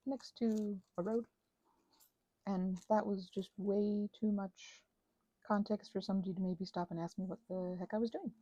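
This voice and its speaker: A woman's voice: mid-range voice, warm tone, breathy edge, dry recording, low-quality recording. A woman chatting casually and hesitantly in a meandering craft ASMR ramble, close to the mic. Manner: hesitant, meandering, self-interrupting. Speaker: host of a craft ASMR channel.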